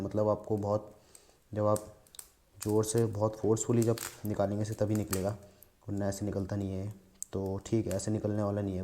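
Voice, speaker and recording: A man's voice: fairly low pitch, warm tone, a little hiss or hum. A man giving a casual hands-on product demonstration in an informal, step-by-step explanatory manner. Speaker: vlogger reviewing a product.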